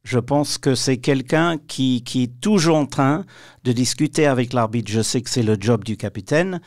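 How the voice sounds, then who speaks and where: A man's voice: mid-range voice, normal speed, a little mellow, no echo, clean studio sound. A man giving his opinions as a pundit in a sports radio discussion.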